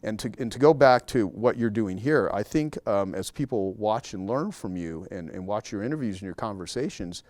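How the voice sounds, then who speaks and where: A man's voice: lowish voice, animated, normal speed, few pauses, a little mellow, dry recording. A man speaking as a guest in a casual interview, talking emphatically and repeating key words to drive a point home.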